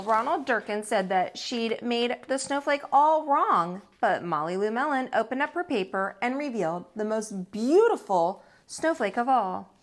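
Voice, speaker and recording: A woman teacher reading a children's picture book aloud, expressive and slow, with deliberate pauses. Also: on the high side. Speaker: teacher and storyteller.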